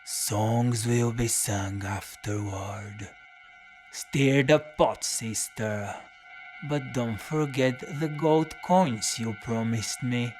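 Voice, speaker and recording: An actor playing a battered, deadpan, hard-drinking folk-hero detective who narrates his own audio drama. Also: medium pitch, very slow. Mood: wry. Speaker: lead character and narrator. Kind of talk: first-person audio drama narration and dialogue. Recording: music under the voice.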